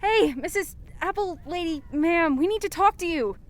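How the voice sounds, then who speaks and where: A woman's voice: very high voice, expressive, moderate pace, a little hiss or hum. A woman acting as an anxious, skeptical young investigator in an audio drama, with a nervous, exclamatory manner. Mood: exasperated, alarmed.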